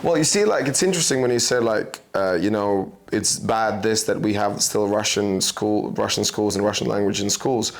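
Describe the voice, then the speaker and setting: Latvian guy in his 30s, low voice with gravel, chatty and loose. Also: moderate pace, hardly any pauses, slight background noise. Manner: loose, chatty, many fillers. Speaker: podcast host. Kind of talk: casual chat.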